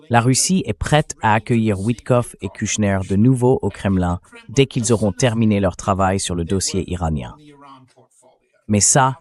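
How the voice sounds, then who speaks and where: This is a man's synthetic dubbing voice with a fairly low pitch. He delivers political commentary in a measured, analytical way, as in a translated interview. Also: darkish tone.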